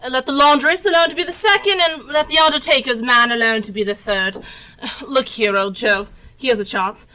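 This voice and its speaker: A brazen, callous charwoman played by a woman on an amateur stage, scoffing and defiant in a declamatory way. Her voice is high and forceful, picked up some way from the microphone in a live recording. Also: normal speed, distant mic, low-quality recording. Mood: brazen, gleeful. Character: brazen, callous charwoman selling a dead man's belongings. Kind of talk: amateur stage acting of Dickens. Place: theatre stage, live performance.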